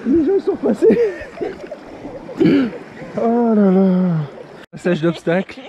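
Excitable man kayaking on a noisy river. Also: high voice, slow, dull audio. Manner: excited, swearing, exclaiming. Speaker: kayaker filming the trip. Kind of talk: casual outdoor vlog chat. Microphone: action camera on the boat. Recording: loud river and wind noise.

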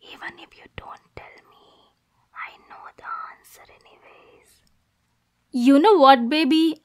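Casting: woman to play jealous yandere girlfriend for ASMR roleplay; high voice, slow delivery, menacing yet cooing, possessive. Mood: cold whisper. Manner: swings between soft cooing and angry, degrading outbursts. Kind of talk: ASMR roleplay, dramatic monologue.

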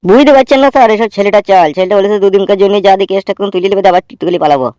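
Man playing high-pitched, pleading young cartoon husband. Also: racing, darkish tone, smooth, no room sound, close to an everyday voice. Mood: insistent. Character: earnest, pleading young husband at a police station. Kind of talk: comic cartoon dialogue.

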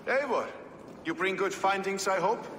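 An actor playing a hard, cynical medieval warrior in a game cutscene, with a blunt, wry and sceptical way of talking. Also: male voice, sits high, normal speed, fairly bright tone. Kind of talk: dramatic game cutscene acting. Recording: reverberant hall, faint ambient noise.

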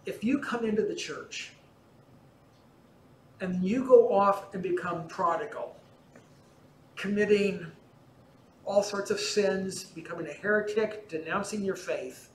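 An older man with a fairly high voice teaches a parish class in an easy, conversational way, gesturing as he explains. His voice rings through a large church and is picked up by a far camera. A faint hum sits underneath. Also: a little slow, pauses often, reverberant. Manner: relaxed explaining, gesturing as he talks. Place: large church interior in front of icons.

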